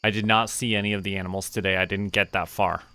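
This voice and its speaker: Male game streamer, slightly low pitch, bright tone, casual self-directed musing. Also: level tone, fast, smooth. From Canada. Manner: musing to himself in short remarks. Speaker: VTuber streamer. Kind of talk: casual livestream gaming commentary. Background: background music.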